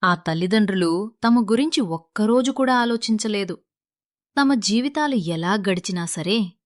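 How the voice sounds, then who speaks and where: A woman's voice: medium pitch, dry recording, studio quality. A woman narrating a scripted moral story as the storyteller.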